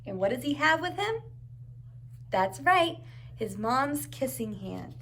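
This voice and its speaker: A woman's voice: sits high, very animated, takes it slowly, fairly bright tone, slight background noise. A woman reading a storybook aloud to young children like a preschool teacher, adding encouraging asides and simple questions.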